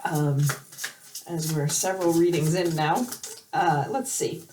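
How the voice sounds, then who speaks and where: Hoarse lady doing a tarot reading, low voice, echoey room, bit far from the mic. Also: steady tone, unhurried, a little mellow, slight background noise. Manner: hesitant, many fillers and restarts. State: hoarse from allergies. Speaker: tarot reader. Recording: roomy, from off the mic.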